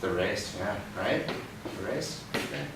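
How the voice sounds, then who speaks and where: A man's voice: fairly low pitch, a little slow, vocal fry. Man reading a storybook to a child. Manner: expressive storybook reading broken by gentle teaching questions. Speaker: read-aloud host. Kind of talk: reading a picture book aloud to a child. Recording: roomy, distant mic with background noise.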